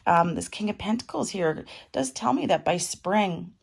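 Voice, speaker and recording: Middle-aged woman with a cold, raspy and gravelly, low-pitched, chatting through a home tarot reading. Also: animated, normal speed. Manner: chatty, warm advice with fillers. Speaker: tarot reader. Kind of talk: casual tarot reading to camera.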